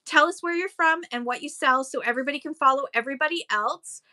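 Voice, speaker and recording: Woman, from Canada, livestream host, bright tone, fairly high pitch, casual upbeat chatter. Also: fast talker. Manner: upbeat, reads viewer comments aloud, frequent ums. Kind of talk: casual livestream chat reading comments. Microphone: phone.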